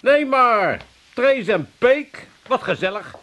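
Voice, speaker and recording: A man's voice: very high-pitched, big pitch swings, slow, full character voice. An actor playing a hesitant, hapless working-class man in a comedy radio play. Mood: jovial.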